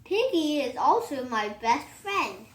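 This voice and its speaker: A young-sounding female reader giving an expressive, theatrical read-aloud of a children's picture book. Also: high-pitched, measured pace, echoey room, poor audio.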